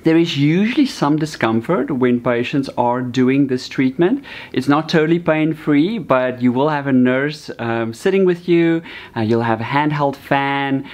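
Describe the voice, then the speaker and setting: A man in his forties with a Canadian accent, a doctor calmly explaining a treatment to patients in a bright clinic room, with a few fillers. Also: mid-range voice, a little slow. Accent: Canadian English. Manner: calm, explanatory, with some fillers. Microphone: seated, medium shot to camera.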